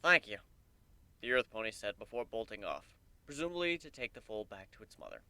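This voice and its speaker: A man narrating a story as a dramatic reading, expressive and deliberate in his telling. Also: lowish voice, no room sound.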